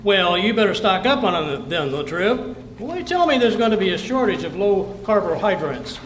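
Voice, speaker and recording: A man's voice: sits high, sing-song, moderate pace, a bit bright. A man playing a blustering, argumentative, dim-witted husband in old-time radio comedy, folksy and indignant. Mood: wisecracking, combative. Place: clubhouse hall, live radio play performance. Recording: roomy live stage recording, faint noise.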